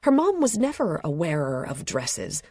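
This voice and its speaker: A woman reading literary fiction as an audiobook narrator, with a measured, expressive delivery and a slightly husky voice. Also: low-pitched, no room sound, studio quality. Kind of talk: scripted literary fiction narration, present tense. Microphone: close studio microphone.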